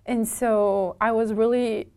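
A woman's voice: a little high, steady tone, slow pace, darkish tone. A woman, a guest in a casual interview who often restarts her sentences and laughs easily. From southern Brazil. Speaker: interview guest, documentation developer.

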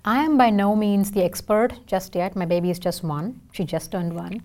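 A woman's voice: medium pitch, normal speed, dark tone. A woman in her thirties from India with an Indian accent and Tamil as her first language, answering interview questions in a chatty, emphatic way, often checking in with "right?". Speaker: interview guest.

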